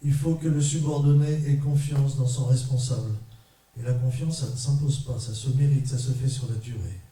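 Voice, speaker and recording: A middle-aged man from France giving a conference talk to an audience as a trade unionist, measured and emphatic, in short repeated phrases. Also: mid-range voice, steady tone, mellow. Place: large echoey parish hall. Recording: echoey hall, mic far off.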